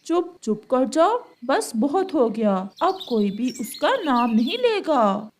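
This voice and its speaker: A woman's voice: high-pitched, big pitch swings, takes it slowly, a little mellow, slight background noise, exaggerated voice. A woman playing a stern, stubborn father bird with a firm, commanding manner in a cartoon story. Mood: angry.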